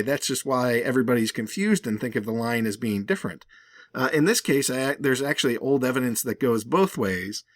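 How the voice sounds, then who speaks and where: A man's voice: medium pitch, no room sound, clean studio sound. A man hosting a video with casual, rambling and emphatic conversational commentary. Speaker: video host.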